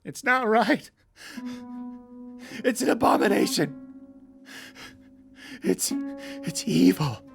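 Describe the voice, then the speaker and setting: A troubled man in an audio drama, earnest and intense. His voice sits fairly high and has a gravelly creak, with music clearly playing behind him. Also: animated, slow pace, pauses often, exaggerated voice. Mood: panicked, appalled. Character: Trevor, distressed man battling a monstrous vegetable.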